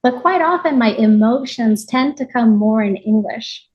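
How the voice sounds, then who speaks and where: A woman's voice: fairly high pitch, animated, moderate pace, lo-fi sound. A woman from Japan speaking as an interview guest, giving a reflective, conversational personal account with frequent small asides. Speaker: podcast guest, yoga teacher.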